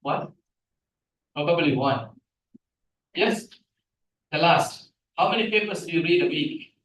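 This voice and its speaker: A middle-aged man whose first language is Tamil gives a guest lecture on scientific writing. He is animated and keeps asking the audience questions. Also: on the high side, a little slow, slight background noise. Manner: animated, asks the room questions. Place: seminar room, hybrid session. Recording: echoey room from a distance.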